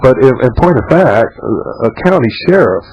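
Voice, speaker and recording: Guy hosting a radio show, slow and dramatic, leans hard on words. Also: mid-range voice, lively intonation, background noise. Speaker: radio host. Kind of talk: radio talk show monologue and interview.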